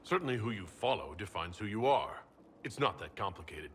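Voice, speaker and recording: Deep, blunt game hero. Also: male voice, expressive, fairly quick, a bit bright, a little hiss or hum. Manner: terse, blunt. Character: blunt, cool-headed male leader and protagonist. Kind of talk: video game dialogue.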